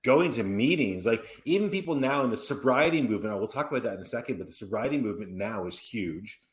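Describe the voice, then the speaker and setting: A man in his forties hosting a podcast, telling stories in an animated, rambling way with many restarts and asides. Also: fairly low pitch, fast talker. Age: 40s. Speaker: podcast host. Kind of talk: casual conversational interview. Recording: roomy echoey room.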